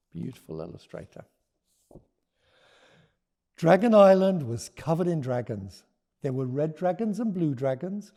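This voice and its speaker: A man reading a children's storybook aloud and talking about it, with deliberate, expressive phrasing. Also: on the high side, creaky. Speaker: children's author reading his book aloud. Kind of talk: expressive storybook read-aloud with teaching notes.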